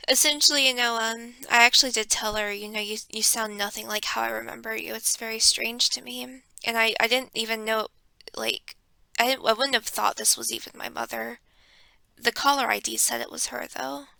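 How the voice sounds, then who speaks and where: Hushed, bright-voiced young woman. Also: a little high, fairly even intonation, normal speed, vocal fry, no echo. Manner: hushed and reflective, with many ums. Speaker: vlogger. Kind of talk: personal storytelling vlog. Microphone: close desk microphone.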